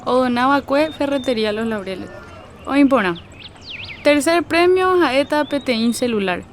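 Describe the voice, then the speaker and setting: A brisk village fair organizer. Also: female voice, high voice, lively intonation, a little hiss or hum. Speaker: meeting organizer. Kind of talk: radio drama acting.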